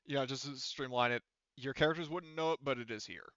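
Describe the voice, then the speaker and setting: A man's voice: mid-range voice, fast talker, fairly bright tone, clear, resonant tone, no echo, muffled recording. A man casually running a tabletop game as game master, rambling and swearing freely.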